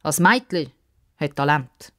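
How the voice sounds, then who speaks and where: A woman's voice: low-pitched, expressive, slow pace. Woman as a proud, kindly old football coach.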